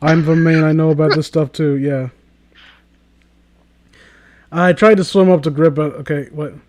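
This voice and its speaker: A man with a notably high voice hosts a comedic read-aloud. He narrates, then cuts in with sarcastic, incredulous riffs and casual swearing. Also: fairly even intonation, moderate pace, darkish tone. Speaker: host reading a story aloud.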